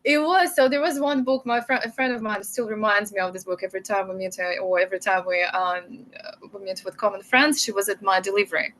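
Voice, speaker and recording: Soft-spoken woman with a Russian accent. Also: medium pitch, brisk, low-quality recording. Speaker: podcast guest, mother. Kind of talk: conversational interview storytelling.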